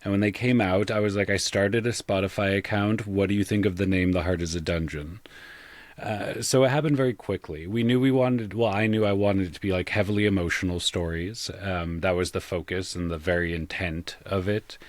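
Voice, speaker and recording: Male interview guest, very low voice, dry sound. Casual storyteller with a wry, self-deprecating manner. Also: brisk, no room sound. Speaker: podcast guest, audio drama creator.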